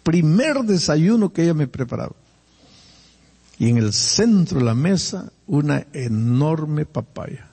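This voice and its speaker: An older man from Peru with a Peruvian Spanish accent, preaching a sermon and telling personal stories, animated and swinging between loud emphasis and quiet asides. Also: on the high side, a little slow, breathy edge, long reverb tail.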